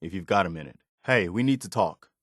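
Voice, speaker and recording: A man's voice: low voice, lively intonation, a little fast, dry recording, clean studio sound. A male actor voicing an earnest, weary, loyal militia soldier in a video game, speaking in a plain-spoken, folksy way. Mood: sincere, grateful. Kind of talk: dramatic video game dialogue.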